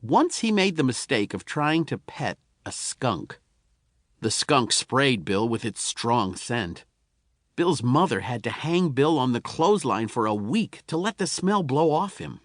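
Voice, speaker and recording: Animated male storyteller, dry studio sound. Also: mid-range voice, a little slow, no echo. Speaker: audiobook narrator. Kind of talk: scripted storytelling narration of a children's tall tale.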